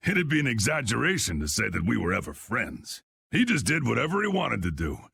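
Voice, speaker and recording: A man voicing a gruff, commanding old guild boss in a video game, folksy, drawling and stubborn, with a gravelly, husky voice. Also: medium pitch, wildly swooping pitch, normal speed, sharp tone, full character voice. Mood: wry, evasive. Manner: folksy, drawling, plain-spoken. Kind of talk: video game dub acting.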